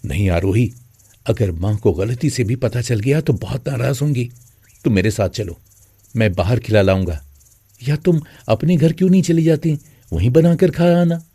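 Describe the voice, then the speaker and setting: A man's voice: medium pitch, normal speed, warm tone, breathy edge, no echo. A man playing a cautious young husband who is wary of his mother, in an acted moral story. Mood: cautious, advising.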